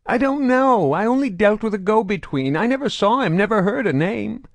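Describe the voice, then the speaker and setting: A man voicing a terrified, crippled male alien mercenary who begs in a high-pitched mewling whine and stammers in desperation. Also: lively intonation, normal speed, dry recording. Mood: terrified pleading.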